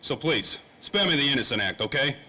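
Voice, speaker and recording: A man's voice: medium pitch, expressive, a little fast, pushed and bright, breathy edge, exaggerated voice. An actor playing a world-weary, wisecracking private eye in a 1930s radio play, full of slangy tough-guy talk. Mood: weary, pressing. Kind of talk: old-time radio drama acting. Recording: faintly noisy old-time radio recording.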